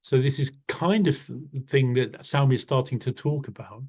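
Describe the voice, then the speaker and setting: A man leads an online storytelling workshop, talking in a warm, thoughtful way and often restarting his sentences over a video call. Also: mid-range voice, normal speed, breathy edge.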